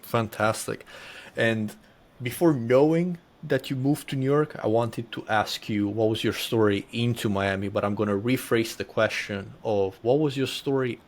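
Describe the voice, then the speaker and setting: A man's voice: mid-range voice, normal speed. A man hosting a podcast, affirming his guest and asking long, polished framing questions. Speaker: podcast host. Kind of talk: interview host.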